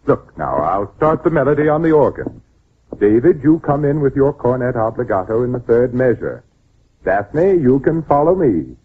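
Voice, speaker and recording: A man's voice: medium pitch, sing-song, normal speed, slightly rough. An actor playing a stern, anguished widowed father in old-time radio drama, with an insistent, theatrical delivery. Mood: firm, coaxing. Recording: tinny, noisy old radio recording.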